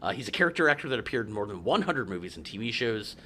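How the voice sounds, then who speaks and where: Male podcaster, lively pitch, bright tone, chatty, reads trivia. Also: very fast. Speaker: podcast co-host. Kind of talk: casual chat about movies.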